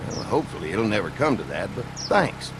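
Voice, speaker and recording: An easygoing young dairy farmer in a video game. His Southern drawl is folksy and friendly, with lively swings in pitch. There is clear noise behind the voice. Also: a little fast. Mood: affable.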